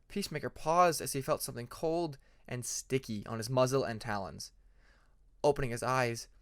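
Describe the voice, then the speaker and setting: A man narrating a fantasy story like an audiobook, in an expressive, dramatic manner. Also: mid-range voice. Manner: expressive, acts out dramatic passages. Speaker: fan fiction narrator.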